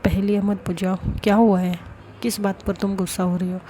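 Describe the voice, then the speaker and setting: Woman narrator doing young woman, defensive, stammering repeats, mellow low-brightness tone. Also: flat delivery, fairly quick, slight background noise, lightly acted. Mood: upset, defensive. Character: young woman pleading, defensive with her friend.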